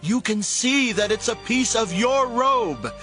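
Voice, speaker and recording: An earnest young fugitive warrior calls out to his king in a dramatized Bible. The voice is very high and forceful, with slow, emphatic phrasing over faint music. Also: animated, full character voice. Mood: urgent pleading. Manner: shouting from a distance, slow and emphatic. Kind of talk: dramatized audio Bible acting.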